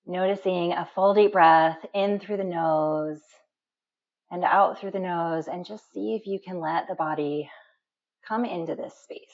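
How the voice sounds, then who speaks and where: A woman instructor guiding a deep relaxation meditation in a calm, instructional manner with slow pauses. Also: creaky. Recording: dull, muffled lo-fi sound.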